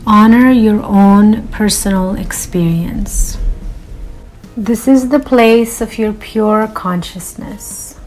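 A woman leading a guided meditation in a soothing, unhurried manner with long pauses. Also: mid-range voice, a little mellow, faint music behind, rough recording.

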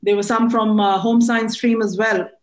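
A woman's voice: a little high, level tone, moderate pace. Indian woman interviewed in an echoey room. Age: middle-aged. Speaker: interview guest, air force air traffic control officer. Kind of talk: conversational interview answers.

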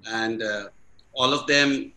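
Bright-voiced male interview host. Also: medium pitch, takes it slowly, reverberant, poor audio. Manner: friendly and curious, laughs along, loose phrasing. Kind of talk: casual interview chat.

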